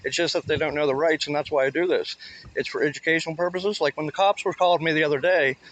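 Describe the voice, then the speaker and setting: A man's voice: fairly high pitch, steady tone, fast, a bit bright, low-quality recording. A man with a Canadian accent in casual conversation, explaining himself in an insistent, repetitive way. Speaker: video auditor filming in public buildings. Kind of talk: casual spoken conversation with a police officer.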